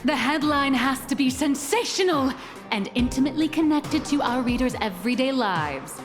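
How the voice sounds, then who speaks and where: Brash female editor-in-chief for a game: upbeat, bossy, coaxing, higher-pitched voice over music. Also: animated, moderate pace, big-room sound. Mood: bossy, instructive. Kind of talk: video game character acting.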